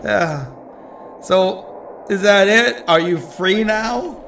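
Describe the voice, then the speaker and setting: An actor playing an anxious, self-doubting ordinary man facing horror in an audio drama, talking haltingly like someone leaving a recorded message. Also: sits high, creaky, fairly bright tone, a little hiss or hum, heavily acted. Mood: bitter disbelief. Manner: halting, nervous, conversational.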